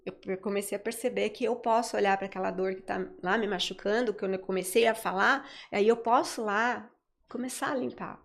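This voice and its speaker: A woman's voice: medium pitch, fairly even intonation, fairly quick, creaky. A woman with a Brazilian Portuguese accent, hosting an interview conversationally, rephrasing ideas as she explains them. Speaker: interview host. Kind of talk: conversational discussion.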